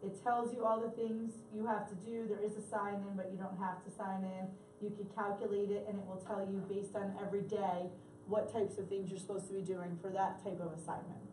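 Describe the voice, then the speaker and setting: A woman's voice: mid-range voice, little pitch movement, a little fast, background noise. A woman leading a workshop in a casual, chatty way, often correcting herself and apologizing, her voice worn and slipping into coughs. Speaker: workshop presenter. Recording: echoey room from far off.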